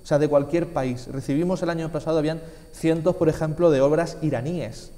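A man's voice: on the high side, fairly even intonation, brisk, mellow. A man in his twenties with an accent from Spain, answering casually as an interview guest in an emphatic, repetitive way. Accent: Spanish from Spain. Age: 20s. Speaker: interview guest, film festival organiser. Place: small indoor TV studio, seated at a desk. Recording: reverberant room.

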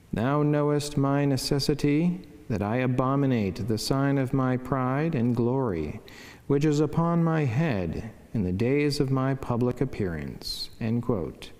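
Solemn priest reading in a reverberant church. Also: male voice, mid-range voice, expressive, darkish tone. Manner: measured, formal, reverent delivery. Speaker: priest, friar reading a devotional. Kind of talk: solemn scripted devotional reading. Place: wood-panelled church sanctuary, indoors. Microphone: lectern microphone.

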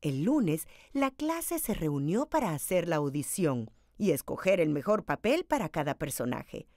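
A woman reads a picture book aloud as a storyteller. Her voice sits a little low for a woman but moves up and down with lively expression. The sound is dry, with hardly any room on it. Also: a little slow, dry recording. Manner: expressive, dramatized storytelling delivery.

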